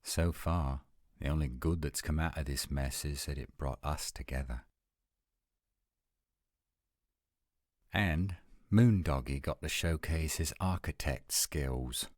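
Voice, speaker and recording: A man playing an adult male werewolf lover in a romantic roleplay audio, speaking in an intimate, measured, coaxing and reassuring way. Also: very deep voice, a little mellow, no room sound, studio quality. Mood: earnest. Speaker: voice actor.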